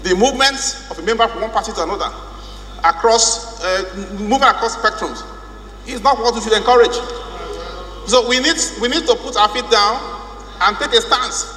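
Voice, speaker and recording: A man's voice: extremely high pitch, sharp tone. A man with a Nigerian accent giving a formal, emphatic speech as a lawmaker, repeating phrases for weight. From Rivers State, Nigeria. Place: large indoor legislative chamber. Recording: echoey hall with hum.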